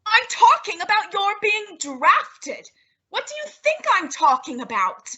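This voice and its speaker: A melodramatic young housewife from an old-time radio sitcom, played by a woman. Her voice is high, bright and unhurried. She delivers everything with indignant, exaggerated comic flair. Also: big pitch swings, dull audio, full character voice. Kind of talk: 1950s radio sitcom acting.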